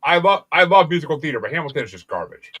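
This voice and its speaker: Podcast host, male, swears constantly, rambles fast, bright edge, dry close mic. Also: on the high side, no echo, highs cut off. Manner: profane, animated, rambling. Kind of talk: casual podcast chat.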